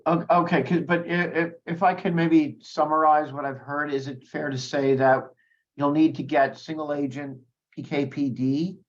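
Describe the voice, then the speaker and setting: A man, an analyst, asking questions on an earnings call in a halting, hedged way with restarts. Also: fairly high pitch, steady tone, highs cut off.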